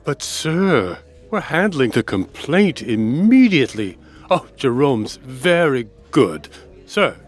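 An unctuous male restaurant manager, acted by a man for a comedy audio sketch. He talks slowly and fussily, with highly animated pitch, eager to placate. Faint music sits behind the voice. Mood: flustered, urgent. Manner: obsequious, deliberate.

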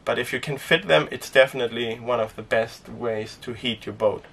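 A young man who grew up speaking Danish, chatting casually to camera inside a small sailboat, relaxed and rambling with lots of fillers. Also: medium pitch, normal speed, gravelly, slightly rough, recorded from a distance. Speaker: sailing vlogger. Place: inside a small sailboat cabin and cockpit tent.

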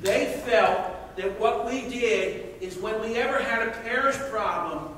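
A middle-aged man standing in an audience, telling an anecdote in a loud, jokey way. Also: very high-pitched, unhurried, a little hiss or hum. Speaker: audience member. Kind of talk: comment from the floor. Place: large auditorium, standing among seated audience. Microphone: no mic, voice carried to a far camera. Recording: echoey hall from far off.

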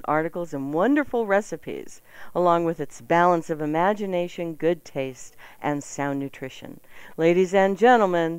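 Slightly low-voiced woman on talk radio. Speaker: radio show co-host. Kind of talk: radio talk and sponsor reads. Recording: radio broadcast with music.

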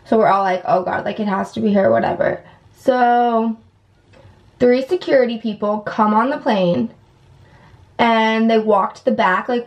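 A woman's voice: medium pitch, a little mellow. A woman in her twenties chatting casually to camera like a vlogger, telling a story informally and peppering it with "like" and "whatever.". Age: 20s. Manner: chatty, heavy use of "like" and "whatever". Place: indoors at home, bathroom. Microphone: camera at about arm's length.

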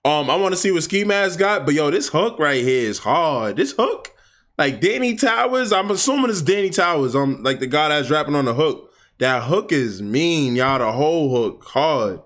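A young man hosting a music reaction video. He chats casually and excitably in slang, speaking indoors to a webcam while wearing headphones. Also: a little high, moderate pace. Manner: excitable, slangy, loose and talkative. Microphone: webcam framing, wearing headphones.

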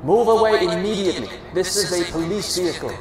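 A man's voice: fairly high pitch, fairly quick, a crowd in the background, voice pushed up, natural voice. A man voicing a hot-tempered police officer who bellows scornful threats and commands. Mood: commanding.

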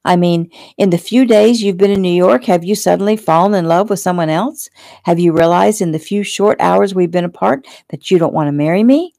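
A woman's voice: fairly low pitch, normal speed, gravelly, mellow, no echo, studio quality. A woman narrator voicing a demanding, clingy young fiancée in an audiobook, theatrical, pleading and indignant. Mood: shrieking, furious.

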